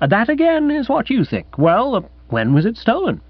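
Man playing a high-pitched, excitable young English gent. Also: moderate pace, no room sound, muffled recording, exaggerated voice. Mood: breezy indignation. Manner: chirpy, indignant, old-fashioned slangy. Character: excitable, breezy young upper-class English gentleman. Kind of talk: comic audiobook character acting.

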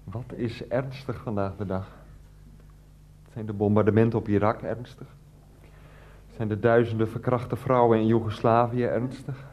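A man's voice: on the low side, steady tone, normal speed, pauses often, darkish tone, slight background noise, close to an everyday voice. An actor playing a hesitant, evasive and theatrical family man in a radio drama, rambling as he talks. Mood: grave, hesitant. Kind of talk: Dutch radio drama dialogue.

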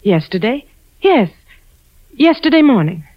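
An actress playing an anxious, evasive wife and mother in a 1940s radio thriller, speaking nervously and haltingly and repeating herself. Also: a little high, sing-song, no room sound. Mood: defensive, nervous. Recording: dull, dry 1940s radio broadcast.

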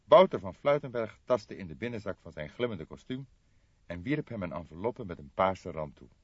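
A man's voice: sits low, sing-song, normal speed, breathy edge, dry recording, highs cut off. A man reading a crime story as scripted audiobook narration.

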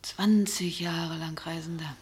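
Low-voiced, melancholy middle-aged waitress. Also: female voice, steady tone, a little slow, slightly rough, heavily acted. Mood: wistful. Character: melancholy, wistful ageing waitress in love. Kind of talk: radio play acting.

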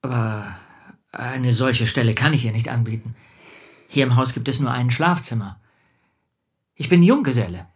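A man's voice: mid-range voice, moderate pace, pauses often, breathy edge, no room sound, studio quality, natural voice. A man acting a shy, modest middle-aged bachelor in a radio play, with a hesitant, subdued way of speaking.

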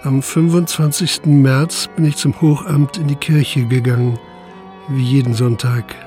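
An actor playing a sober, methodical police investigator in a radio drama, speaking formally and matter-of-factly. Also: male voice, mid-range voice, fairly even intonation, warm tone, faint music behind, natural voice. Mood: sober recounting. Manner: formal, measured, matter-of-fact. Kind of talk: radio drama interrogation and narration.

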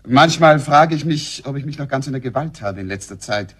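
A man's voice: mid-range voice, expressive, fairly quick, a bit bright, slightly rough. An actor playing an overwrought, hot-tempered English nobleman's son in a German radio drama, earnest and strained in manner. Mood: apologetic, strained.

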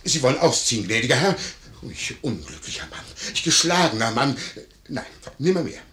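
Fawning, wildly swooping innkeeper. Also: male voice, mid-range voice, big pitch swings, a little fast, sharp tone. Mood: flustered, servile. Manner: obsequious, flustered, stammering, coaxing. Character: fawning, wheedling male innkeeper, fussy and excitable. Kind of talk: theatrical radio play acting.

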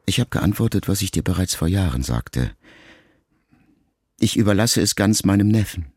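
An actor playing a wounded, reflective ageing son in an intimate radio drama monologue, speaking in a measured, gravelly voice. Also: male voice, deep voice, animated, darkish tone, no room sound, studio quality. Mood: sober, resolute. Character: grieving ageing son addressing his dying mother, wounded, reflective.

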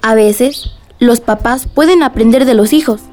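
A woman's voice: a little high, measured pace, a little mellow, natural voice. A woman playing a curious young listener in a radio drama. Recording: music bed under dialogue.

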